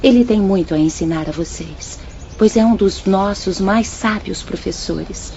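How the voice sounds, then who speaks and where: An actress with a Brazilian accent playing a kind, encouraging young spirit sister in a gentle, formal radio drama style. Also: female voice, mid-range voice, a little slow, gravelly. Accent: Brazilian Portuguese. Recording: dull band-limited audio, faint noise and ambience.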